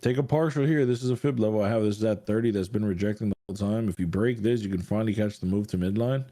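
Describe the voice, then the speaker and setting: A man's voice: fairly low pitch, fast, dark tone. A man hosting a live trading stream, brash and crude, hyping the chat between trades. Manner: crude, brash hype talk. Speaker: host.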